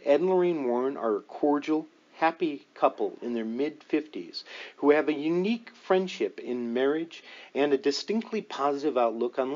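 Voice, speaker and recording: A man's voice: medium pitch, darkish tone, highs cut off. A man narrating a nonfiction book as an audiobook reader, in a scripted reading style.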